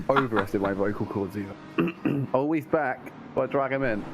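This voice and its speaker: A man commentating a long race, hoarse from hours of talking, friendly and teasing with halting speech. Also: medium pitch, animated, music in the background. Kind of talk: casual commentary chat.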